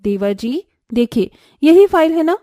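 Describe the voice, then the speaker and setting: A woman voicing a caring, dutiful young sister-in-law in a cartoon story, gentle and helpful. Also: sits high, very animated, measured pace, no room sound, studio quality. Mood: kindly. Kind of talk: Hindi cartoon story acting.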